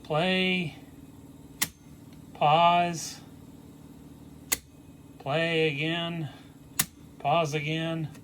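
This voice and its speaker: A man's voice: fairly high pitch, steady tone, drawn-out words, long pauses, noisy background. A man giving casual repair commentary at the workbench, informal and thinking aloud as he works. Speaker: repair vlogger and host. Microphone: backup microphone, not the usual audio recorder.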